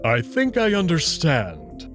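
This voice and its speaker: A man's voice: a little high, wildly swooping pitch, slow pace, music in the background, heavily acted. Man voicing a proud wolf-man, very dramatic intonation. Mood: teasing, amused. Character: proud, confident male wolf-man noble, playful but hot-tempered.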